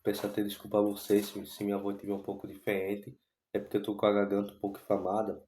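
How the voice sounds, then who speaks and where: A man, hoarse from a cold and sore throat, giving casual football commentary and rambling with many restarts. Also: fairly low pitch, monotone, fast, dark tone, reverberant, slight background noise. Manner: rambling, repeats himself with many restarts and fillers. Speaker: football fan channel host.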